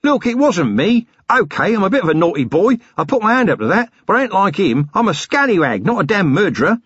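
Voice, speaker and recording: A man voicing a cowardly, whining small-time male crook who stammers and wheedles in rough working-class speech. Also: fairly high pitch, sing-song, moderate pace, a bit bright, dry recording, muffled recording, pitched above the performer's own voice, exaggerated voice.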